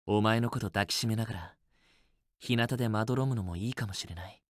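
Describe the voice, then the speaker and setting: A man's voice: lowish voice, a little fast, no echo, studio quality, close to an everyday voice. A man playing a possessive, teasing young student boyfriend in a romance game, with an intimate, assertive, coaxing delivery. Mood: affectionate, coaxing. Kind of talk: Japanese otome game voice acting, intimate romantic dialogue.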